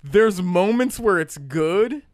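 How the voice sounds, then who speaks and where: Man, clear smooth voice, fairly high, casual podcast chat. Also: expressive, takes it slowly, dry recording, studio quality. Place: small room at home, around a table. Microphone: close podcast microphone.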